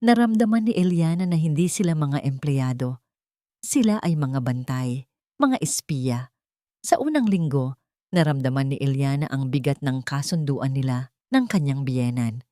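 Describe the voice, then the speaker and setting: A woman's voice: extremely low pitch, animated, normal speed, dark tone, no echo. A woman reading a scripted dramatic story as its narrator.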